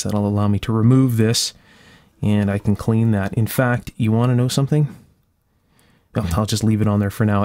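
A man's voice: fairly low pitch, darkish tone, dry recording. A man giving casual hands-on repair narration, explaining carefully step by step as he hosts. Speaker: electronics restorer and video host. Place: indoor electronics workshop at a bench.

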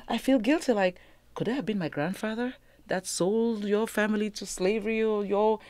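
A woman's voice: mid-range voice, normal speed, darkish tone, no echo. A middle-aged woman with a Cameroonian accent, hosting a conversational interview in a studio. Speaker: interview host. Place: small radio studio, indoors. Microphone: studio mic on boom arm.

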